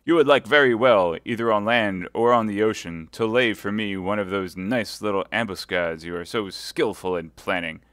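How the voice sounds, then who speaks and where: Male narrator voicing a cold, mocking baron; low, loud, dry. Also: normal speed, hardly any pauses, no room sound, natural voice. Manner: sneering, mocking, forceful. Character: stern vengeful English baron, mocking and cold.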